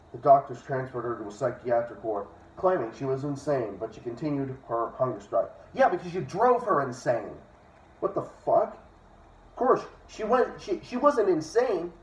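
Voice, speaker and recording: A man reading a book aloud and breaking in with opinionated, sometimes profane commentary. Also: medium pitch. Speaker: online reader and commentator. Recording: echoey room, distant dull microphone.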